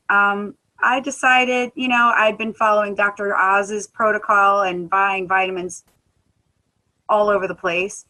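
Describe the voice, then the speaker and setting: A woman who sings in a band, giving a conversational personal testimony at a wellness talk, earnest, with many filler pauses. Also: mid-range voice, pushed and bright, low-quality recording. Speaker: guest speaker, musician.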